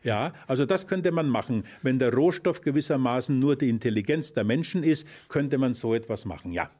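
A man's voice: medium pitch, lively intonation, a little fast, a little mellow. An older man explaining history in conversation, hesitant with many fillers, in a lecturing way. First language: Spanish-fluent German speaker. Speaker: expert guest.

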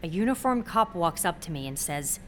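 A woman's voice: fairly low pitch, animated, normal speed, fairly bright tone. Brief: actress as intense young woman, twenties, wry and guarded, naturalistic audio drama, faint ambient noise. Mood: earnest, guarded.

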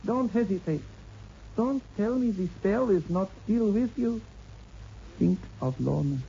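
Creepy surgeon in old radio horror, very dark mellow voice, coaxing, muffled recording. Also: male voice, high voice, expressive, vocal fry, a little hiss or hum, full character voice. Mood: silky menace. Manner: coaxing, commanding, deliberate. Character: sinister spellbinding surgeon coaxing his victim. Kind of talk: 1960s radio horror acting.

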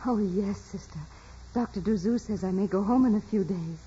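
A meek, artless peasant girl in a vintage radio play, played by a woman. She speaks softly and hesitantly at a medium pitch, on a muffled, faintly noisy recording. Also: normal speed, natural voice. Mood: meek, gentle. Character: humble, innocent peasant girl visionary, gentle and sincere. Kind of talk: 1940s radio drama acting.

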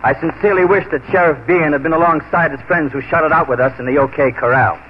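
Tough frontier marshal man playing, radio western: resolute, defiant, higher-than-average voice, thin narrow-band broadcast sound. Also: fast talker, a little hiss or hum, lightly acted. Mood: grave, indignant. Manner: firm, resolute. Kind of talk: 1940s radio drama acting. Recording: tinny, narrow-band 1940s radio recording.

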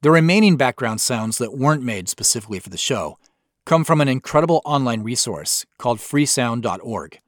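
A man's voice: mid-range voice, expressive, a little fast, no echo, studio quality. An American man giving a scripted behind-the-scenes commentary as a show's creator, explaining things conversationally and speaking directly to listeners.

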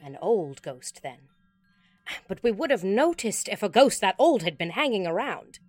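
A woman's voice: medium pitch, very animated, moderate pace, no room sound, soft background music. A woman playing a practical, long-suffering ghost with a wry, skeptical manner in an audio drama. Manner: dry, exasperated, questioning.

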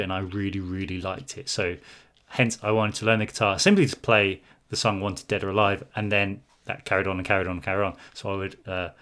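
A man's voice: on the low side, fairly bright tone. A man co-hosting a casual chat, enthusiastic and teasing as he answers viewer questions.

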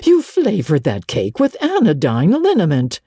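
Woman putting on a grim strict old spinster, curt, dark mellow tone. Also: low-pitched, wildly swooping pitch, measured pace, husky, no echo, studio quality, heavily acted. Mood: exasperated. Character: stern, brisk older spinster woman.

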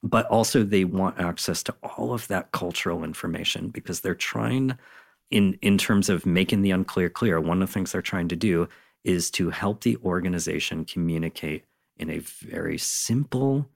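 A man's voice: deep voice, normal speed, vocal fry. A man speaking as an interview guest, explaining things in an enthusiastic, conversational and emphatic way. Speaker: interview guest, content designer.

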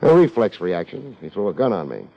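Casting: sardonic, hardboiled American gumshoe, 1950s radio serial, animated intonation, lo-fi period sound. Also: male voice, lowish voice, brisk, vocal fry, exaggerated voice. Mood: brisk, wry. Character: tough, wisecracking male private detective. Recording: dull old 1950s radio recording.

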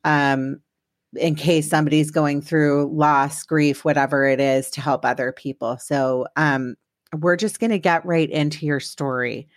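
A woman hosting an interview, gentle and supportive, speaking with some hesitation. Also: deep voice, fairly even intonation, unhurried. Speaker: host. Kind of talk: interview podcast.

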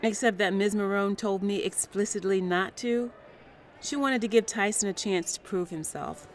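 A woman's voice: medium pitch, steady tone, moderate pace, close to an everyday voice. A woman playing an eager, defensive woman caught meddling in a scripted drama.

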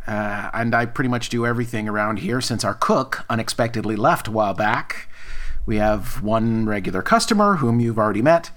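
An actor playing an awkward, hesitant diner manager in a naturalistic audio drama, blunt and a little flustered. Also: male voice, on the low side, lively intonation, brisk, fairly bright tone. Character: awkward, harried diner manager, socially clumsy man. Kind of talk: naturalistic audio fiction acting.

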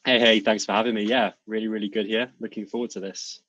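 A deep, husky British man in his thirties, speaking in a flat, even tone and an easygoing manner. His voice comes through a dull, lo-fi recording with a dry sound. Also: fast, no room sound. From UK, South Wales. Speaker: guest, fitness instructor. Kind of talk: casual interview chat.

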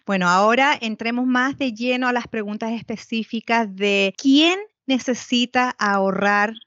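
A woman's voice: fairly high pitch, measured pace, fairly bright tone, dry recording, highs cut off. Woman hosting a finance podcast. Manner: friendly, guiding questions. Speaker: podcast host. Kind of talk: podcast interview.

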